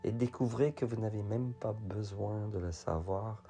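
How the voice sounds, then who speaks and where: A man guiding a relaxation meditation in a soothing, soft, often near-whispered voice, with repetitive phrasing and long pauses. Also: on the low side, soft background music. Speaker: yoga nidra guide.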